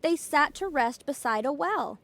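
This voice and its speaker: A woman reading a children's storybook aloud as a playschool storyteller, expressive, deliberate and emphatic. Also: high voice, no room sound.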